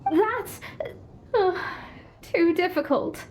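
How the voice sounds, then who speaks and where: A woman's voice: very high-pitched, expressive, drawn-out words, darkish tone, a little hiss or hum, heavily acted. An actress playing a proud, formal young woman astrologer who is easily flustered, in an anime-style video game. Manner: formal, haughty, flustered stammering when embarrassed. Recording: game dialogue with faint background music.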